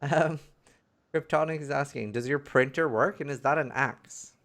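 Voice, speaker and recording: A man's voice: a little high, moderate pace, a bit bright. A man hosting a casual live stream, reading viewer questions aloud in a jokey way.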